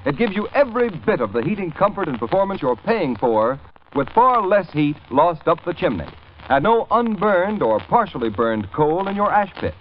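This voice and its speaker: Animated American radio announcer man. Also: fairly high pitch, moderate pace. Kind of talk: vintage radio announcing, scripted. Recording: muffled, faintly noisy vintage radio recording.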